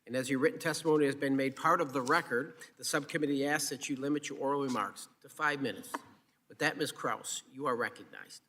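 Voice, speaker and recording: A man's voice: mid-range voice, a little fast, bright, forceful tone. A man with an American accent chairing a formal hearing, reading out procedural remarks. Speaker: subcommittee chairman.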